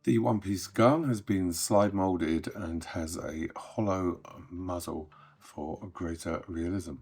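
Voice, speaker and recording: A middle-aged man with a very low, croaky voice, still getting over an illness. He runs through hobby news in an easy, chatty way and lets his sentences trail off quietly. Faint guitar music plays behind him. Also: slow pace. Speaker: host. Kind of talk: casual scripted hobby news update.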